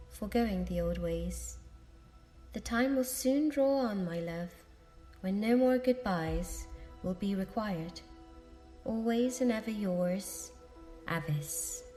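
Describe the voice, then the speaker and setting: An actress playing a tender, earnest young betrothed woman reading a letter aloud in an audio drama, gentle and formal. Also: mid-range voice, expressive, a little slow, soft background music, natural voice. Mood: loving, earnest.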